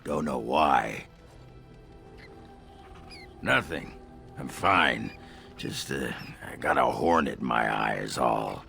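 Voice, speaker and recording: An actor playing a crude, blustering, drunken old dwarf warrior in a video game, with a gravelly voice. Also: male voice, very deep voice, lively intonation, slow pace, long pauses, sharp tone, faint music behind, exaggerated voice. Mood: defensive. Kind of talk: video game character acting.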